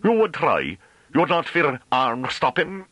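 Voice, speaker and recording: A man's voice: a little high, very animated, a little slow, fairly bright tone, dry recording, pitched above the performer's own voice, full character voice. A man voicing a big, mocking, belligerent farmer in a thick rustic dialect with rolled Rs and archaic phrasing, for an audiobook. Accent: thick rustic invented dialect with rolled Rs.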